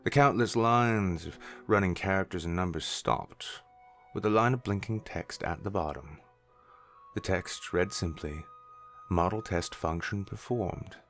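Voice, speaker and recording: A man's voice: low-pitched, a little slow, soft background music. A man narrating a scripted story in the third person.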